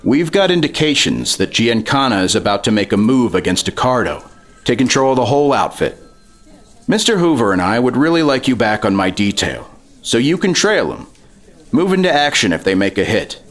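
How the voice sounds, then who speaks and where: An actor playing a gruff, dismissive FBI boss in a 1950s radio drama, blunt and businesslike. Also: male voice, medium pitch, sing-song, moderate pace, a bit bright.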